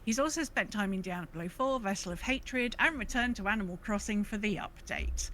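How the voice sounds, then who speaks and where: A woman's voice: medium pitch, normal speed, a bit bright, a little hiss or hum. A woman hosting a podcast, chatting casually about an online video game. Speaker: podcast host.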